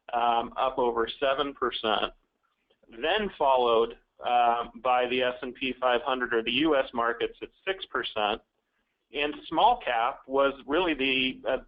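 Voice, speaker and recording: A man presenting an investment report as a chief investment officer on a conference call, in a measured, businesslike way. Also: medium pitch. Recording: slightly dull conference-call audio.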